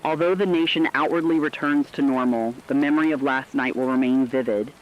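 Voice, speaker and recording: A man playing a solemn radio reporter, delivering measured, portentous scripted narration in a radio drama. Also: mid-range voice, dull audio, close to an everyday voice. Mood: solemn, foreboding. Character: solemn male radio reporter warning of ancient judgment.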